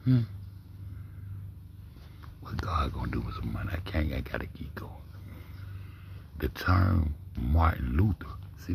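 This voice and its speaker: Deep gravelly man, low and rough. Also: noisy background. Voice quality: big deep voice. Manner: talks low, often drops to a near-whisper. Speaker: livestream host. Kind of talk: profane casual commentary while reading text aloud.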